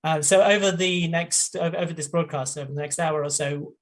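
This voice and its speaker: A man's voice: fairly high pitch, fairly even intonation, fairly quick. A man hosting a live online science broadcast, introducing his colleagues with fillers and small laughs. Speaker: host.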